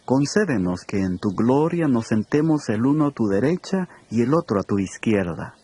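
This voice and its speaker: An actor playing an ambitious male disciple with a husky voice, asking for favors. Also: medium pitch, big pitch swings, normal speed, mellow.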